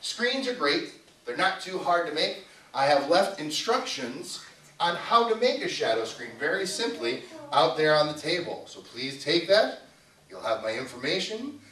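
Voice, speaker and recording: A man with a slightly high voice gives an informal live talk as a puppeteer. He is instructive and playful with a family audience. The room is echoey and the mic sits some way from him. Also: very animated, normal speed, bright, forceful tone, far from the mic. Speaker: puppeteer presenter. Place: indoor venue, live show.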